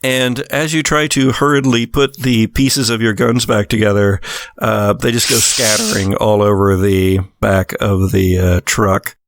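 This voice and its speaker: A man's voice: fairly low pitch, dry recording, clean studio sound. A man working as game master, narrating a horror tabletop game in improvised, conversational second person with some teasing.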